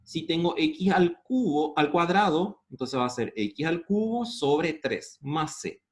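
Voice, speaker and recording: Slow, lively-pitched Spanish math teacher. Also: male voice. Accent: Paraguayan Spanish. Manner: asks the class questions and answers them, slows down over formulas. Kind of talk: step-by-step classroom lecture on integrals.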